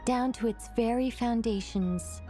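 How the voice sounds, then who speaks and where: A woman playing a calm, scholarly keeper of ancient lore in a video game, explaining history. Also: a little high, unhurried, faint music behind, lightly acted. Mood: calm, explanatory. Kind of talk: video game voice acting, expository storytelling.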